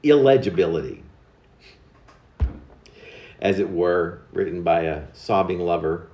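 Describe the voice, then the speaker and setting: A man's voice: deep voice, expressive, unhurried, darkish tone, reverberant, muffled recording. A man reciting his own humorous narrative poem in a wry, confiding way, like a storyteller passing on gossip. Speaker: poet reading own work.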